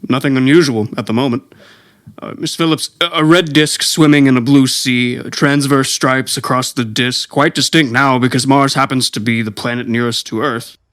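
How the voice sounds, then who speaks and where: A skeptical professor of astronomy acted by a man in a 1930s-style radio play. His voice is mid-pitched, with a scholarly, matter-of-fact and slightly hesitant delivery. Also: steady tone, moderate pace, fairly bright tone, lightly acted. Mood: absorbed, matter-of-fact. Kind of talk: 1930s radio drama interview.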